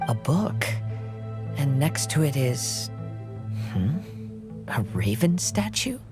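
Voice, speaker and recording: Composed game adventurer, low voice, observant and deliberate, clear music behind. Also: female voice, big pitch swings, exaggerated voice. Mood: puzzled. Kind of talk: video game voice acting.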